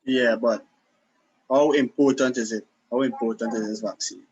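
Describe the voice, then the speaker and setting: Husky young man, casual guest. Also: medium pitch, fairly even intonation, moderate pace, a little mellow, dull audio. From Guyana. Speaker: podcast guest, footballer. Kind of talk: casual remote interview chat.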